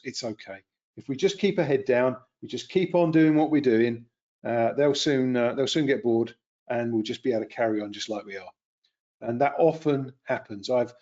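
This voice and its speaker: A man's voice: mid-range voice, a little mellow, highs cut off. A man in his fifties giving an unscripted webinar lecture, conversational and rambling, with many fillers and restarts. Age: 50s. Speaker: webinar presenter. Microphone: computer webcam and mic.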